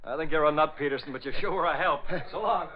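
A man's voice: on the high side, quick pace, a crowd in the background, natural voice. An actor playing a hard, curt, commanding man of action in 1940s radio drama. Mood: sharp, commanding. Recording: dull, very noisy old radio recording.

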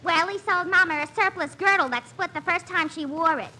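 A woman's voice: very high-pitched, brisk, sharp tone, heavily acted. An actress playing a nosy, nagging single woman in a 1940s radio comedy, with a whiny, indignant manner. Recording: faintly noisy vintage radio recording.

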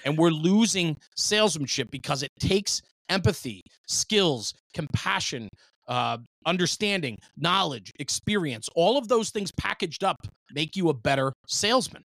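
A man's voice: a little high, animated, measured pace, fairly bright tone, dry recording, low-quality recording. A man in a conversational podcast discussion, making his points emphatically and persuasively. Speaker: podcast speaker.

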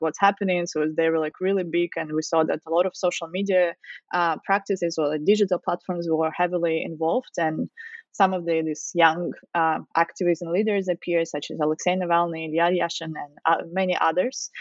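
A woman's voice: lowish voice, dry recording, dull audio. A woman from Russia, a researcher in her thirties, answering interview questions thoughtfully with frequent fillers and restarts. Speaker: guest author, researcher.

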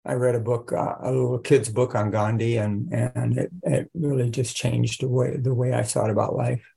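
An older man whose first language is Italian, a professor telling his life story on a video call in an unhurried, reflective way with soft laughs. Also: mid-range voice, flat delivery, creaky, slightly rough. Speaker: guest, religion professor. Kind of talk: casual conversation.

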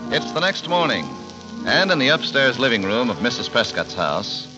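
A man's voice: mid-range voice, sing-song, moderate pace. A man announcing a 1940s radio drama in a formal, theatrical, scripted style. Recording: muffled vintage radio recording. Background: music.

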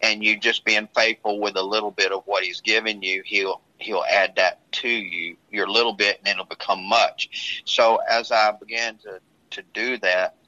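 A man who is a pastor, talking earnestly and at a measured pace about his own story, often restarting his sentences. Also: lowish voice, fairly even intonation, sharp tone. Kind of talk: casual talk sharing a testimony. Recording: dull lo-fi sound.

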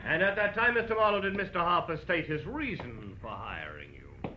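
An American actor playing a formal prosecuting attorney, questioning in a declamatory courtroom manner from old radio drama. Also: male voice, fairly high pitch, sing-song, normal speed. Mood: formal, insistent. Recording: dull, slightly noisy vintage radio recording.

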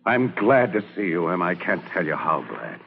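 Deep-voiced kindly general, old radio. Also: male voice, very animated, creaky, dull audio, loud music behind the voice, full character voice. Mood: relieved, gentle. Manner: measured, reassuring. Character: kindly, grateful Union army general. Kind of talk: old-time radio drama acting. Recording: thin vintage radio recording.

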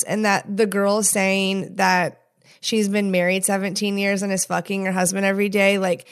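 A woman talking casually and candidly in an interview, frank and swearing freely. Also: medium pitch. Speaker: podcast host appearing as guest.

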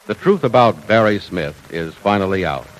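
An old-time radio announcer, a man delivering a hearty, folksy sales pitch. His voice rises and falls in big swings as he sells and introduces the show. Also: lively intonation, normal speed, rough recording.

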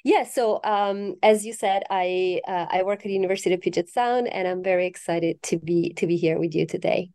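Animated Italian-born woman, warm mellow voice. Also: fairly low pitch, moderate pace, hardly any pauses. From Italy. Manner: animated, fillers and restarts, rising emphasis on key words. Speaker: philosophy professor, guest author. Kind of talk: academic interview conversation.

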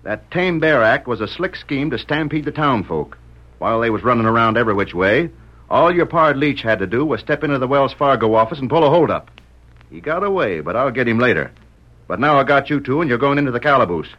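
An actor playing a gruff, suspicious Old West sheriff in a radio western, with a folksy cowboy drawl and blustery manner. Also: male voice, mid-range voice, expressive, fairly quick, natural voice. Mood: accusing. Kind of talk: vintage radio western acting. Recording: muffled old radio recording.

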